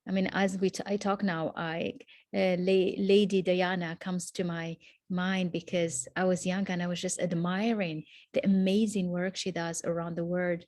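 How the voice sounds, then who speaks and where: A woman, answering questions as an interview guest in a reflective, measured way over a video call. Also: fairly low pitch, flat delivery, darkish tone, dry recording, clean studio sound. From Morocco. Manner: reflective, measured, with frequent restarts.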